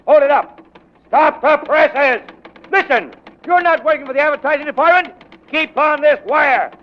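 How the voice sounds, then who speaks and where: An actor playing a ruthless, domineering newspaper editor who barks orders in 1940s radio drama style. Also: male voice, very high voice, moderate pace. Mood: urgent, commanding. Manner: barking, domineering, commanding. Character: ruthless, bullying, fast-scheming newspaper editor, adult man. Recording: muffled 1940s radio recording.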